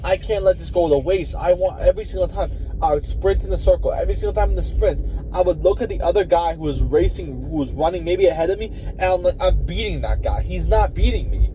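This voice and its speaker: A young man, a college wrestler, telling his story in a casual, excitable vlog, full of "like" and "you know what I'm saying". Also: sits high, fast. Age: young adult. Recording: muffled lo-fi audio with traffic noise.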